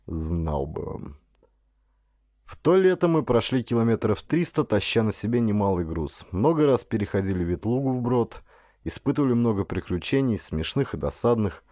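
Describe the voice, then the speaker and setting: A man reading a first-person story as an audiobook narrator, in a dramatic, expressive way with varied intonation. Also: fairly low pitch, normal speed, no room sound, clean studio sound.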